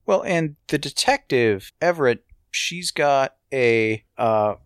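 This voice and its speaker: A man's voice: medium pitch, expressive, slow, clear, resonant tone, no room sound, clean studio sound. A man co-hosting a podcast, talking through a TV show in a casual, explanatory, conversational way. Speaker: podcast co-host. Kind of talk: casual TV review discussion.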